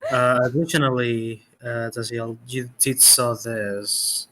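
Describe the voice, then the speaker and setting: A man narrating as game master of a tabletop game, pausing often on fillers. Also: mid-range voice, level tone, a bit bright, low-quality recording. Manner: hesitant, full of fillers.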